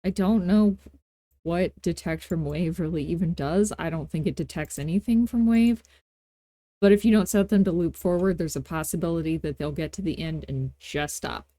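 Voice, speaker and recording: Low, mellow-voiced woman giving a casual tutorial. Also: moderate pace, no echo. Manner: playful, self-deprecating asides, folksy informal grammar. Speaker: tutorial host. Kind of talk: casual, unscripted software tutorial narration.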